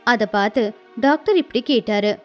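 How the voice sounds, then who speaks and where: A woman's voice: on the high side, expressive, normal speed, soft background music. A woman reading scripted storybook narration as the narrator of a tale.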